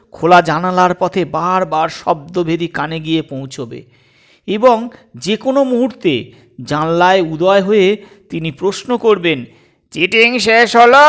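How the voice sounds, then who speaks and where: Slow, high-voiced man telling a story. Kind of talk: expressive scripted narration of a comic story.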